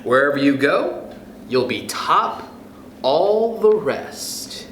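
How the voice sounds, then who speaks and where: A young man reading a picture book aloud to children, gentle and animated, with soft chuckles and friendly asides. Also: a little high, slow pace, reverberant, slight background noise. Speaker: youth club storyteller.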